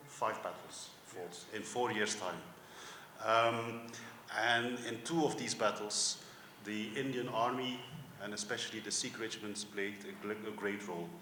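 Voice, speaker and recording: A man from Flanders with a Flemish accent gives a semi-formal public speech as a guest, pausing often with ums. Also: mid-range voice, a bit bright. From Flanders, Belgium. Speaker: museum director, guest speaker. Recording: echoey hall with faint background noise.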